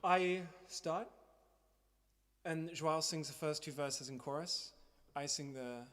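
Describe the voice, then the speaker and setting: Man, slightly high voice, musician host, casual chat, organising, living room livestream. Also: slow pace, fairly bright tone. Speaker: musician hosting a livestream concert. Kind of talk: casual chat between songs, addressing online viewers.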